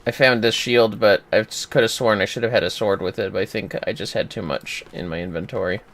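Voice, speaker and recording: A man chatting casually while gaming on a livestream, playful, exclamatory and quick to swear. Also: on the low side, a bit bright. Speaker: gamer on a livestream. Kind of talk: casual gameplay chat.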